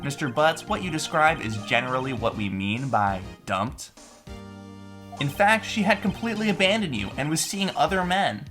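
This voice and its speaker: A man's voice: fairly high pitch, sing-song, moderate pace, fairly bright tone, soft background music. Man acting a smug, formal prosecutor. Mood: smug, accusing. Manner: formal, pointed, condescending. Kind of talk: video game fan dub.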